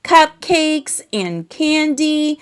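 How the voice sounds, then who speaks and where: Woman reader playing storybook city mouse, female: bubbly show-off, gushing, raised lively pitch. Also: extremely slow, full character voice. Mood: boastful. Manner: gushing, exclamatory. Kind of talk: children's storybook character voice.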